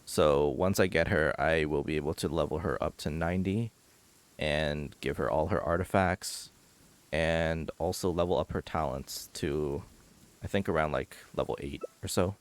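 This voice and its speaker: A man's voice: extremely low pitch, moderate pace. A man talking casually as he streams a video game, commenting live on what he is doing.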